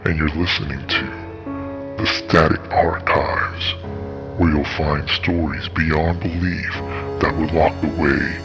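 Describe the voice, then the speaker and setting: A man playing an ominous, hypnotic late-night horror radio host, with a theatrical, commanding, drawn-out delivery. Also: very deep voice, steady tone, vocal fry, over music, exaggerated voice. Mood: ominous, teasing. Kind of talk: scripted horror show introduction.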